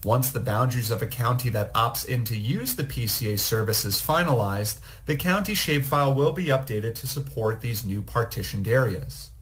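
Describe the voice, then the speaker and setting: A man reading a scripted, recorded technical presentation in a measured, explanatory way. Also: medium pitch, lively intonation. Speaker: program manager presenting.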